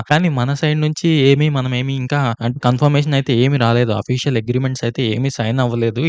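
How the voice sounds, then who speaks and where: A man with a Telugu accent gives explanatory news commentary in a smooth, clean voice. He moves along at a brisk pace and keeps it conversational, peppering his speech with English terms. The sound is dry, with no room echo. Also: medium pitch, highs cut off. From India. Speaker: host of an explainer channel.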